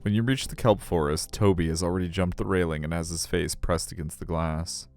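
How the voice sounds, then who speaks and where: A middle-aged male streamer reads a visual novel and chats casually between passages. His voice is deep and resonant, with faint music playing underneath. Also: fairly even intonation, a little fast, a little mellow. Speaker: game streamer. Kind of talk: live stream chat and visual novel narration.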